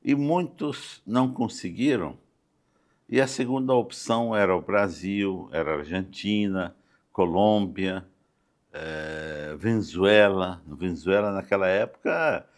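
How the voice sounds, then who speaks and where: An older Brazilian man speaking as a guest in a conversational podcast interview, halting and often adding small check-in tags. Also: low voice. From Campo Grande, Brazil. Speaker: interview guest, doctor and city councillor. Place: podcast studio.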